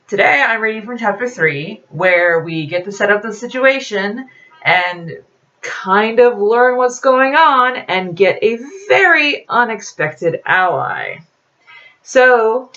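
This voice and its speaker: A woman's voice: mid-range voice, bright, forceful tone, muffled recording. A woman reading aloud from a novel in an audiobook-like storytelling style, as an author presenting the work.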